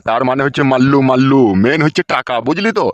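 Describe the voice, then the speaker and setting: A quick, close and dry cartoon voice, acted by a man: a greedy, bossy village uncle from a comedy. He scolds and explains, pressing the listener to keep up. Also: mid-range voice, no echo, close to an everyday voice. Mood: impatient, explaining. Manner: scolding, bossy, peppered with check-in questions. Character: scheming, foul-mouthed middle-aged village uncle, bossy and greedy. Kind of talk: Bengali comedy cartoon dubbing.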